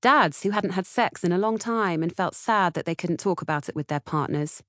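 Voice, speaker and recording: A woman's voice: lowish voice, moderate pace, fairly bright tone, dry recording. A woman with a British accent reading scripted audiobook narration. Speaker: author-narrator reading audiobook, podcast co-host.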